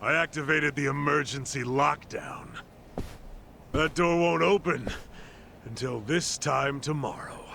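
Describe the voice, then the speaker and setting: A man's voice: on the high side, unhurried, creaky, bright, forceful tone, slight background noise, exaggerated voice. A man playing a smug, menacing yakuza villain who runs a facility in a game cutscene, condescending and threatening. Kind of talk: dramatic game cutscene voice acting.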